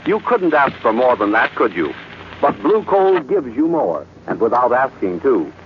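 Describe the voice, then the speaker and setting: A man's voice: medium pitch, very animated, normal speed. A man announcing in a formal, polished and emphatic 1940s radio style. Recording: muffled vintage radio broadcast, faint noise.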